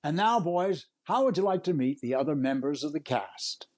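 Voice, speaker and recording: An actor playing an earnest, cheerful singer who hosts a 1930s radio show. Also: male voice, on the high side, expressive, normal speed, a little mellow, close to an everyday voice. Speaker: show host. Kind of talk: 1930s radio comedy acting.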